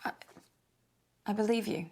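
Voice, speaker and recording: A woman's voice: mid-range voice, measured pace, creaky, darkish tone, slightly rough. A young woman acting as a worried, doubting niece in a naturalistic film drama, speaking hesitantly and earnestly. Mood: tentative, trusting. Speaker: actor in interactive mystery film.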